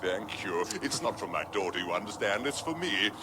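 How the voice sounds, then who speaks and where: A smug, unctuous prison official acted by a man in a radio comedy, falsely polite and quietly cruel. The pitch is middling but very animated, under loud noise. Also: moderate pace, close to an everyday voice. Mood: oily, mock-polite. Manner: mock-polite, oily. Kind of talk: radio comedy drama acting. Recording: very noisy archive radio recording.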